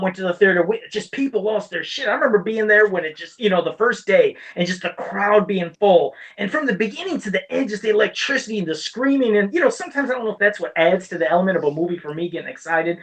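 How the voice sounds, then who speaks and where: A man's voice: high-pitched, fairly bright tone, far from the mic, poor audio. A man co-hosting a podcast, giving casual, opinionated movie talk in an emphatic, ranting way with some swearing. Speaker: podcast co-host.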